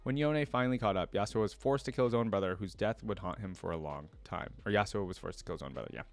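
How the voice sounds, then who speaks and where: A man hosting a reaction video, reading lore aloud and breaking in with informal, off-the-cuff commentary as he thinks out loud. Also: on the low side, fast talker, gravelly. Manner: informal, thinks aloud between read passages.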